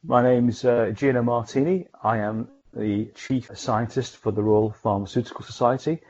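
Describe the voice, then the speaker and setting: A man's voice: medium pitch, moderate pace, darkish tone, highs cut off. A man hosting a professional interview podcast, polite and hesitant, often repeating words as he frames questions. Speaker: podcast host and chief scientist.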